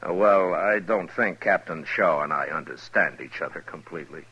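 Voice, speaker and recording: An American man playing a steady, sardonic Old West marshal in a dry, laconic 1950s radio acting style. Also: low voice, moderate pace, slightly rough, exaggerated voice. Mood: measured, courteous. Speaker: lead actor and narrator. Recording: muffled 1950s radio recording, music behind.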